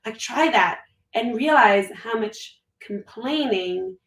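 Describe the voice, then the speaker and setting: A woman's voice: a little high, animated, slow pace, fairly bright tone. Candid upbeat woman, echoey far-off mic. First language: French. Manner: candid, upbeat, talks in run-on thoughts. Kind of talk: casual conversation.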